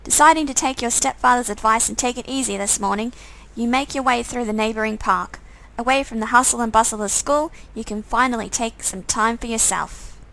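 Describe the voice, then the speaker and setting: A woman streamer narrates a visual novel aloud. Her voice is fairly high with a noticeable creak, and she reads the story in the second person. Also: a little fast, hardly any pauses, pushed and bright, poor audio.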